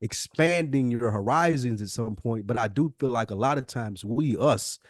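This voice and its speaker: A man's voice: medium pitch, normal speed, no room sound, studio quality. An American man co-hosting a podcast, talking casually in long, emphatic, opinionated explanations. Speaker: podcast co-host. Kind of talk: casual conversational discussion.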